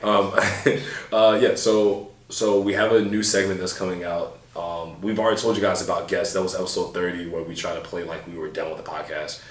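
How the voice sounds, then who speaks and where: A deep-voiced man chats in a loose, slangy podcast style, full of fillers and asides. He sits a little back from the mic in a roomy-sounding space. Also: little pitch movement, brisk, few pauses. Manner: laid-back, slangy, rambling with many fillers. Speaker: podcast co-host. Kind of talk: casual chat. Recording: roomy, a bit far from mic.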